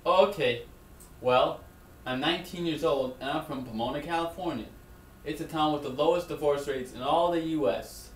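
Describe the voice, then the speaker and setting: A man's voice: a little high, normal speed, lightly acted. A young man playing a chatty college roommate. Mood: friendly. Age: young adult. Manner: friendly, casual and a bit nosy. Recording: echoey room with faint background noise.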